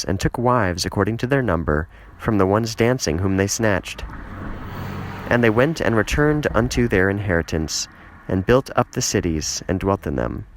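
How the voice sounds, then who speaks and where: Low-voiced man reading scripture. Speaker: scripture reader. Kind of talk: scripted Bible narration.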